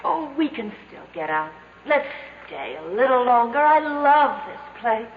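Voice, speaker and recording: Slow, swooping young sweetheart. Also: female voice, on the high side, lively intonation. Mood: curious, tender. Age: young adult. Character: tender, adoring young woman in love. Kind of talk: old-time radio drama dialogue. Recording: phone-like old radio sound, echoey, faint noise.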